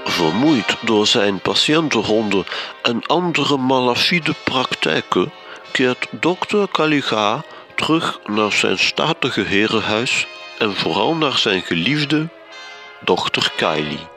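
A man's voice: mid-range voice, expressive, slow, music in the background. A man playing a pompous, self-admiring storyteller, narrating a gothic radio drama in a florid, theatrical manner. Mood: ominous.

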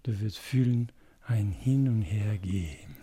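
A man's voice: on the low side, animated, unhurried, dark tone, breathy edge, no echo. A man improvising spoken word with repeated phrases, recorded outdoors with faint ambient noise. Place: outdoors in a forest.

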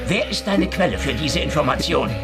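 A man's voice: fairly high pitch, animated, brisk. An actor in an audio drama playing a sardonic, mockingly polite male interrogator who taunts and threatens. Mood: menacing. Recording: loud music under the voice.

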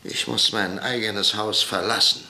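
A man's voice: on the low side, fairly even intonation, measured pace, creaky, bright, forceful tone, full character voice. An actor playing a stern, proud, middle-aged father in a radio play, speaking in a clipped, formal, indignant way. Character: stern proud older father, head of household. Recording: roomy echo.

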